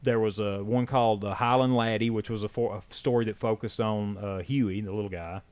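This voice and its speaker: A man's voice: lowish voice, fairly even intonation, a little fast, darkish tone, clean tone. A man co-hosting a casual podcast, talking enthusiastically about comics and games, rambling and correcting himself as he goes. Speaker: podcast co-host.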